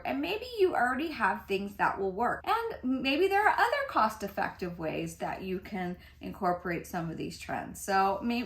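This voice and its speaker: A woman's voice: mid-range voice, a bit bright. A woman in her fifties chatting casually to camera about fashion, often laughing as she talks. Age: 50s. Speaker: fashion vlogger. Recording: echoey room.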